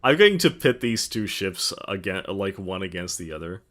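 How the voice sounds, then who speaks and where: Guy streaming a game, bright lively voice, kinda trails off mid-thought. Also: low voice, normal speed. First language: Latvian. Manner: trails off mid-sentence, self-mocking. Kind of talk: casual gaming stream chat.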